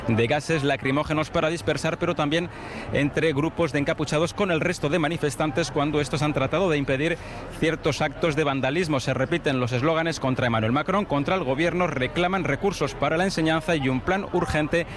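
A man giving a field news report as foreign correspondent, in a measured tone. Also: fairly high pitch, background noise.

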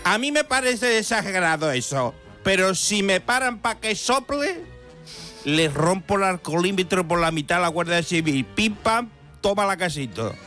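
A man's voice: high-pitched, lively intonation, normal speed, sharp tone, faint music behind. A man voicing a boozy, belligerent French deer who argues his case with indignant, boastful storytelling in a comic radio monologue.

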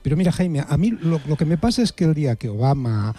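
Mellow-voiced Spanish man, rambling and wry. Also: a little high, big pitch swings, quick pace. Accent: Spain. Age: middle-aged or older. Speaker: radio show contributor. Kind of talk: humorous conversational monologue.

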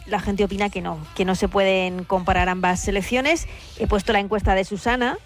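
A Spanish woman briskly reports listener poll numbers on a sports radio show. Faint music sits under her voice. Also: medium pitch, steady tone. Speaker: radio co-host reading poll results. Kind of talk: radio chat.

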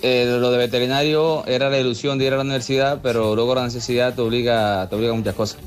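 A man with a Cuban accent chats casually as a radio guest on the phone. His voice is mid-pitched and his talk quick but stop-start, with faint music behind. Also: fairly even intonation. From Cuba. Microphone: telephone. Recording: telephone call over music bed. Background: background music.

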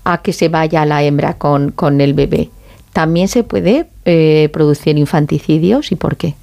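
Female interviewer, gravelly creak, mellow timbre, casual questioning. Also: low-pitched, measured pace.

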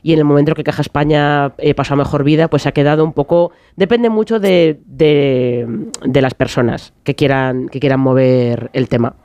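A woman's voice: low voice, fairly even intonation, moderate pace, mellow, no room sound. A woman from León, a panel guest, chatting in a rambling way with many hesitations. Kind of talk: panel discussion.

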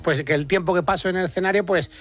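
A man's voice: sits high, quick pace, fairly bright tone. A man from Aranjuez in central Spain with a Toledo accent, chatting casually as a comedian guest in a rambling, hesitant, self-deprecating way. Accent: Toledo, central Spanish. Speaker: stand-up comedian, interview guest. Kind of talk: casual radio chat.

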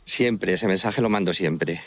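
A man from central Spain with a Manchegan accent talks casually as an interview guest. His voice sits a bit low, and he speaks with enthusiasm in halting, repeated phrases. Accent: Manchegan Spanish. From Castilla-La Mancha, Spain. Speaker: interview guest, walking pilgrim guide. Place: outdoors, walking along a trail by an estuary.

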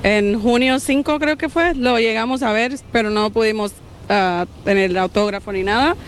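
A woman's voice: on the high side, moderate pace, a little hiss or hum. A woman with a Puerto Rican accent, a football fan answering casually in a street interview. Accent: Puerto Rican Spanish. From Puerto Rico.

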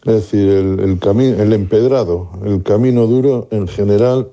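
A man's voice: fairly low pitch, normal speed, dark tone. A man giving football analysis on sports radio, thinking aloud with frequent restarts. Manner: musing, many restarts and fillers. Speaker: football pundit.

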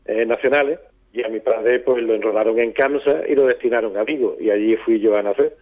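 Guy in his 50s, halting thoughtful interview talk, kinda muffled lo-fi radio audio. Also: mid-range voice. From southern Spain. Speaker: poet and songwriter guest. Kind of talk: reflective interview conversation.

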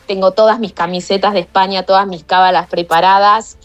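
A woman's voice: medium pitch, steady tone, fairly quick. A middle-aged Argentine woman calling in to a radio show, talking emotionally and effusively from the heart. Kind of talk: heartfelt personal talk on a live radio call.

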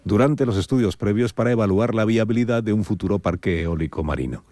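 A man's voice: fairly low pitch, fast, warm tone, no room sound. A man hosting a morning radio show, greeting his co-hosts by name in a jokey, casual way.

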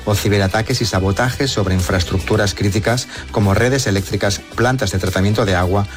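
Deeper voiced reporter guy, radio news report, quiet music under. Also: a little fast. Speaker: news correspondent.

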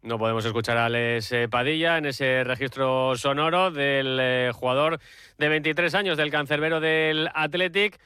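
A man's voice: medium pitch, fairly even intonation, normal speed, sharp tone, clear, resonant tone, dry recording, clean studio sound. A man presenting sports news on radio and introducing interview clips.

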